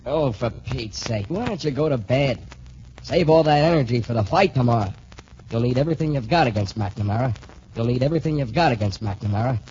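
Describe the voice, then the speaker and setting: An actor playing a skeptical, loyal student sidekick with slangy, exclamatory talk in a 1940s radio serial. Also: male voice, mid-range voice, lively intonation, brisk, darkish tone, lightly acted. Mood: exasperated. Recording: muffled, noisy 1940s radio recording.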